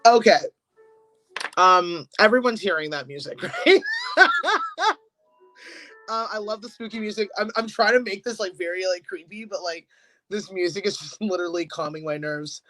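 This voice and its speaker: A woman's voice: on the low side, moderate pace, long pauses, a bit bright, faint music behind, low-quality recording. A woman starting a funny story on a video call, chatty and a bit nervous, laughing through her words. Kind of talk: casual comic storytelling.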